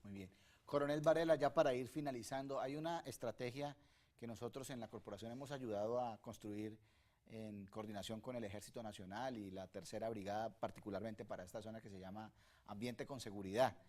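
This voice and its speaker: A man's voice: fairly low pitch, a little fast, darkish tone. A man from Colombia hosting a televised teleconference, formal and courteous as he moves between guests and callers. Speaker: host.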